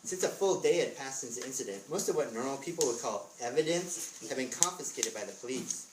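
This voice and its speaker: Echoey, distant narrator reading a story aloud. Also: mid-range voice. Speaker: author reading own book as narrator. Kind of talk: readers theatre narration with character dialogue. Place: live author visit, indoor room. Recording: roomy, distant mic with clear noise.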